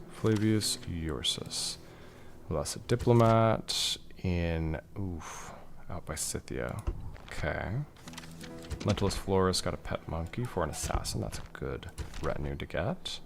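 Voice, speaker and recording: Low gravelly man streaming a strategy game. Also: measured pace, over music. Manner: relaxed, musing aloud, answers chat questions. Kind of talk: casual gameplay commentary reading chat.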